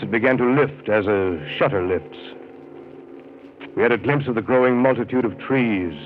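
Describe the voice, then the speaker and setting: An actor playing a brooding steamboat captain who narrates a jungle voyage in grave, measured 1930s radio drama style. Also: male voice, mid-range voice, slight background noise, natural voice. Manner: grave, measured, descriptive storytelling. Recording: tinny 1930s radio broadcast with music behind.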